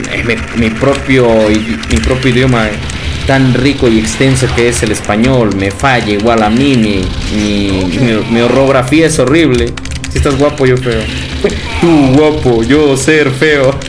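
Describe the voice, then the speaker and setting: A man's voice: medium pitch, moderate pace. A young Mexican man with a Mexican Spanish accent, chatting casually with viewers during a livestream, laughing often and calling them brother. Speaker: game streamer. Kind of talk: casual livestream chat reading viewer comments. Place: indoor room at home. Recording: game music loud behind voice.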